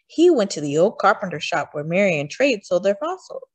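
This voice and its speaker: A woman narrates a storybook for young children. Her delivery is animated, with lots of melodic movement and stretched words for emphasis. The sound is dry, with little room around it. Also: medium pitch, brisk, husky, dry recording. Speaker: storytime reader. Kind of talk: expressive children's read-aloud narration.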